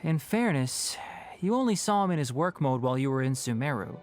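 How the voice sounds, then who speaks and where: A man's voice: fairly high pitch, very animated, fairly quick, slight background noise. A man playing a thoughtful young scholar in a video game, polite and explanatory. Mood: wry, patient. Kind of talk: animated video game voice acting.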